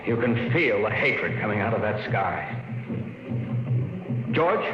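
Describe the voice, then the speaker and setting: A measured, no-nonsense psychologist who speaks with quiet authority. He is heard through a lo-fi 1950s broadcast in a roomy space with a long tail of reverb. Faint music plays underneath. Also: on the low side, sing-song. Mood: urgent. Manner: measured and authoritative, growing urgent. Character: calm, blunt male family psychologist. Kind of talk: 1950s radio drama acting.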